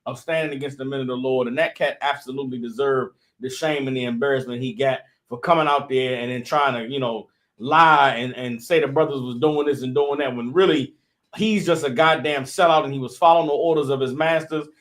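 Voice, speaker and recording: A man's voice: medium pitch, steady tone, a little fast, a bit bright. A man giving loose, impassioned religious commentary, full of "you know what I'm saying" as his intensity builds. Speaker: member of the group adding commentary.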